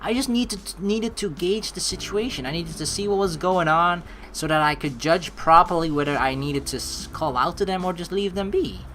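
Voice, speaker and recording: A woman's voice: lowish voice, normal speed, few pauses, fairly bright tone, slight background noise. A woman doing chatty let's play commentary, reading game lines aloud expressively. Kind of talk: casual gameplay commentary and script reading.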